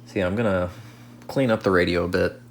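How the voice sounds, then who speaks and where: A man's voice: low-pitched, fairly even intonation, fast. A man giving casual hands-on repair commentary, thinking aloud in a hesitant way as he works. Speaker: radio restoration hobbyist. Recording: clear background noise.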